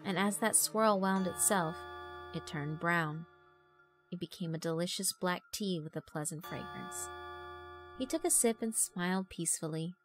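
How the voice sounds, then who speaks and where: A woman's voice: lowish voice, moderate pace, over music. A woman narrator reading a horror visual novel as dramatic scripted storytelling.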